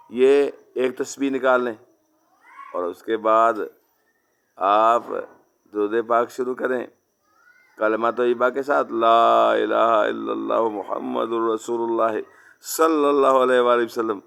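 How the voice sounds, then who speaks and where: A man delivers an Islamic devotional sermon in a creaky, gravelly voice at a middling pitch. He exhorts his listeners as friends, moving between instruction and recited devotional phrases. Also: fairly bright tone.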